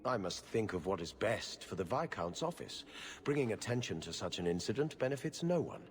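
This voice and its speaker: Prim, deep-voiced official in an echoing hall. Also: male voice, very animated. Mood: guarded, disdainful. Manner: formal, measured, dryly disdainful. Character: prim middle-aged male government official, guarded and haughty. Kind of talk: video game cutscene acting. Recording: echoey hall reverb.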